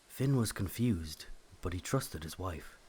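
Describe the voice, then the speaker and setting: A man's voice: sits low, lively intonation, measured pace, a little mellow, a little hiss or hum. A man giving scripted storytelling narration of a mythic legend.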